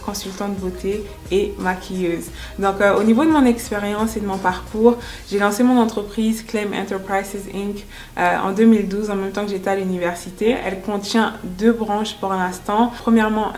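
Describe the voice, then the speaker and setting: A woman's voice: mid-range voice, a little mellow. A woman in her twenties, born in France and raised in the United States, speaking as an interviewed entrepreneur in an earnest, explanatory way. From born in France, grew up in the United States. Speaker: entrepreneur and makeup artist being interviewed. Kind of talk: first-person interview testimonial. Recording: music under the voice. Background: background music.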